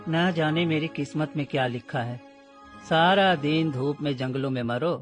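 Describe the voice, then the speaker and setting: A man's voice: on the high side, moderate pace, soft background music, lightly acted. A man voicing a poor, anxious young woodcutter in a children's story, humble and plaintive in manner. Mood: self-pitying. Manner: plaintive, deferential.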